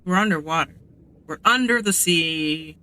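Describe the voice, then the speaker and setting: A man commentates casually on a video game. His voice is strikingly bright and forward, pitched a little high. He rambles, thinking out loud as he goes. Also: unhurried, clean tone. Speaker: gamer commenting on gameplay.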